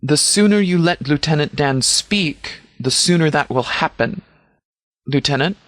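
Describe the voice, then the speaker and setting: A man playing a stern, composed sheriff in a video game, speaking in a measured, formal, authoritative way. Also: a little high, lively intonation, a bit bright, no room sound. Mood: businesslike briefing. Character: stern, composed male sheriff leading a post-apocalyptic militia. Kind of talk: scripted video game dialogue.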